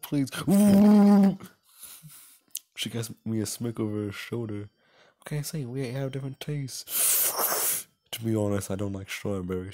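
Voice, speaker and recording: A man's voice: medium pitch, mellow. Young man joking through a game playthrough. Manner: jokey, reads lines theatrically with playful asides. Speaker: gaming video host. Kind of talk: casual playthrough commentary, reading visual novel dialogue aloud.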